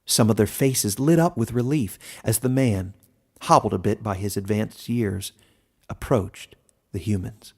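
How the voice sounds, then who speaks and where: A man reading scripted fantasy narration as a storyteller, deliberate and expressive. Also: lowish voice.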